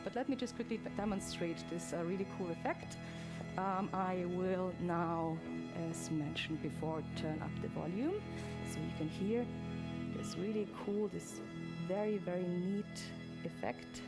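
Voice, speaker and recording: A woman's voice: fairly low pitch, measured pace. A woman giving an informal live demo to an audience, addressing them directly as a presenter. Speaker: presenter demonstrating immersive videos. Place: immersive presentation space with an audience. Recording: loud music behind the voice. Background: music from demo video.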